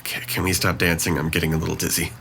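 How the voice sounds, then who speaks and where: A man's voice: low-pitched, little pitch movement, fast, vocal fry, bright, forceful tone, slight background noise, heavily acted. A man acting a depressed, guarded young man in an audio drama, speaking with dry, self-deprecating hesitance. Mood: guarded, doubtful.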